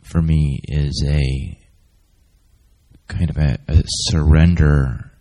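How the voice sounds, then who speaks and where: A man in the audience offering a slow, pausing, reflective comment after a talk. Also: very deep voice, fairly even intonation, warm tone. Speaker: audience member.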